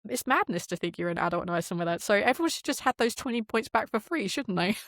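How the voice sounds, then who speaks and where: A woman's voice: fairly high pitch, big pitch swings, brisk, fairly bright tone, no echo, studio quality. A woman chatting casually and opinionatedly as an interview guest. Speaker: podcast guest, game designer.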